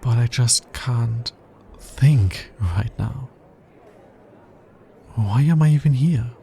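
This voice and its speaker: An anxious, logical alien scientist, acted by a man in an intimate ASMR roleplay. His voice is dark and creaky, and he talks very slowly, in careful, formal phrases that often halt. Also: medium pitch, slight background noise. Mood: nervous. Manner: hesitant, formal, halting.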